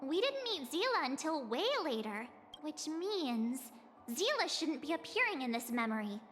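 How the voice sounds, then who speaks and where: Perky game girl, really high and bright, chatty, reverb with faint music. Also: normal speed. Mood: pensive. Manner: casual, youthful, expressive. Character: perky, informal teenage girl adventurer. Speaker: game character voice actor.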